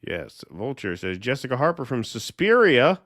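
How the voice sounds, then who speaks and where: A man's voice: medium pitch, big pitch swings, moderate pace, fairly bright tone, dry recording, clean studio sound. A man co-hosting a casual livestream, reading viewer comments aloud and thanking the people who sent them.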